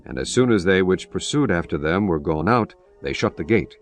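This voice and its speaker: A man narrating scripture in a solemn, measured way. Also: deep voice, expressive, faint music behind.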